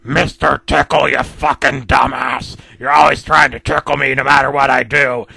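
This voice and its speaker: A man's voice: mid-range voice, flat delivery, extremely slow, vocal fry, slightly rough, full character voice. A man voicing a furious, foul-mouthed grown cartoon grump who rants insults at the top of his lungs. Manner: shouting, ranting insults.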